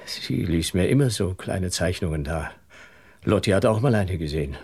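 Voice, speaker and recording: A man's voice: low-pitched, lively intonation, moderate pace, darkish tone, husky, full character voice. An actor playing an earnest married man under suspicion in a radio drama, defensive and emphatic in his denials. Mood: pained, defensive.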